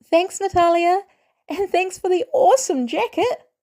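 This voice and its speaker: A woman voicing an eager, excitable teenage boy in a story reading, wide-eyed and a little shy. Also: very high voice, unhurried, pitched above the performer's own voice. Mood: grateful.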